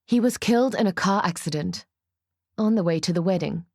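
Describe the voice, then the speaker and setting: A woman voicing a weary, exasperated old woman with a gruff edge, in a dramatic story reading. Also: on the low side, a little fast, a little mellow, no room sound, clean studio sound, natural voice. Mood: gentle, drained.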